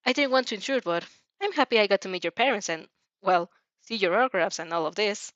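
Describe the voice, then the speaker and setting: A woman's voice: medium pitch, lively intonation, fast, a bit bright, dry recording, lightly acted. A woman reads as a shy teenage boy in a fan fiction story. He is courteous, grateful and a little hesitant, with a dry, faintly muffled sound. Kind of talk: fan fiction character reading.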